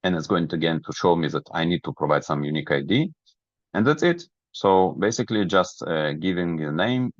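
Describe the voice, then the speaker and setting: A man who is a non-native English speaker presents a software webinar, explaining each step methodically. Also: deep voice. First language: not English. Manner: methodical, step-by-step, checks understanding. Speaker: webinar presenter, product trainer. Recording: roomy, dull lo-fi webinar audio.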